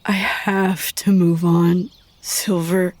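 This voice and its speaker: Quiet, halting young woman, slow and sorrowful. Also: fairly low pitch, flat delivery, dry recording. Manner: quiet and halting, at times whispered. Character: young woman leaving her friends, sad, apologetic. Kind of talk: audio drama acting.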